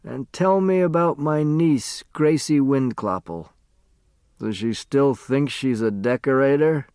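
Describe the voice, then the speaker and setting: A man's voice: mid-range voice, slow pace, darkish tone, clean tone, no room sound, clean studio sound. A man voicing a frail, dying man in his eighties, weak-voiced, wistful and teasing, in an audiobook story. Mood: nostalgic, curious. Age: 80s. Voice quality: weak, faint.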